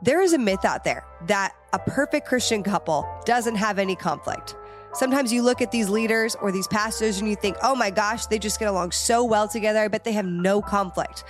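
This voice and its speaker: A woman's voice: medium pitch, a little fast, over music. Upbeat young woman, a bit nasal. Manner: upbeat, encouraging, chatty. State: slight head cold, nasal. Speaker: podcast host. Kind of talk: casual podcast chat.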